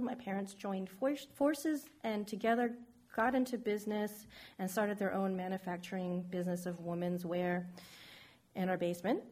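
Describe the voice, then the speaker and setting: A middle-aged woman from San Francisco speaks in a frank, reflective way as she tells her life story. Her pitch sits in an ordinary middle range for a woman, and her delivery is plain spoken, like a personal testimony. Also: a little mellow. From San Francisco, United States.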